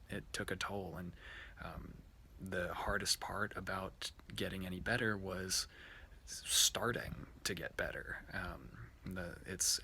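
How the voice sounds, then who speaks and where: Low, bright-edged man, halting reflection. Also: gravelly. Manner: halting, hesitant, searching for words. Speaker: worship speaker. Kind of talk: informal personal reflection. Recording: faint background noise.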